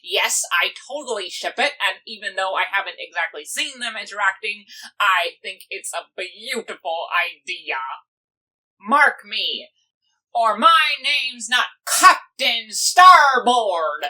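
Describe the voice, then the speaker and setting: A woman playing a boastful, excitable, playfully bossy pony pirate captain in a cartoon fan dub, with theatrical pirate talk. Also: medium pitch, takes it slowly, full character voice, low-quality recording. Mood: excited, chatty. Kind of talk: fan comic dub voice acting.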